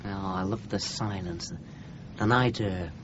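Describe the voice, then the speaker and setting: An actor playing a sensitive, hesitant young bridegroom with a Lancashire accent, earnest and wistful, in a radio drama. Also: male voice, on the low side, normal speed, lightly acted. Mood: wistful, dreamy. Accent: Northern English, Lancashire. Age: young adult. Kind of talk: 1970s radio drama acting. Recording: dull, noisy old radio recording.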